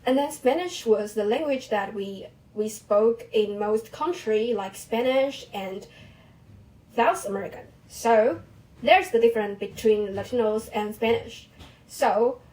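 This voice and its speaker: A young woman whose first language is Mandarin Chinese, talking casually about her own experience in halting English with restarts. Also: fairly high pitch, mellow, rough recording. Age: young adult. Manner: halting non-native English with restarts and small laughs. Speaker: vlogger. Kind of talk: casual personal monologue.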